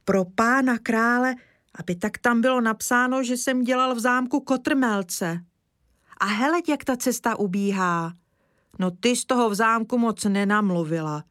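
Woman doing an excited, chatty little boy. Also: no room sound, studio quality, natural voice. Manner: eager, rushing, raised pitch.

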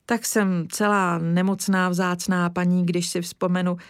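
A woman's voice: fairly low pitch, little pitch movement, smooth, no room sound, close to an everyday voice. Flustered storybook mother, read by a woman, anxious exclamations, dry sound. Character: worried village mother, flustered and fussy.

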